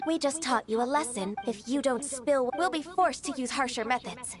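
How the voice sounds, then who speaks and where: A woman's voice: high voice, normal speed, close to an everyday voice. An actress playing a composed young female official in a game, speaking politely, formally and precisely. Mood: firm. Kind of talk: scripted game dialogue. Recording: game music behind the voice.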